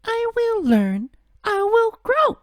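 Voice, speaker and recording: A man's voice: very high-pitched, huge pitch swings, takes it slowly, voice pushed up, exaggerated voice. A man voicing a bubbly, naive young female game creature, childlike and eager.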